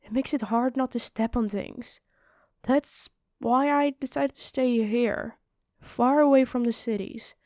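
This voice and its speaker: Gentle giantess played by a woman, higher female voice, polite and earnest, hesitant phrasing, dry fantasy roleplay sound. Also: unhurried, dry recording, lightly acted. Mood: hurt, protesting. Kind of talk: fantasy audio roleplay, ASMR.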